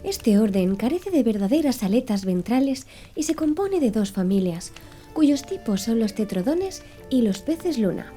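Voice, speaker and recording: A woman voicing a pedantic young male servant in an audiobook, reciting long classifications with calm impassivity. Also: a little high, moderate pace, soft background music. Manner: impassive, reciting lists precisely.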